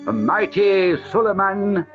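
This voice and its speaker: A man reads as a bluff, hearty sea captain in a storybook. The voice is pitched up and lively. Faint music sits under a slightly dull recording. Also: slow pace, exaggerated voice.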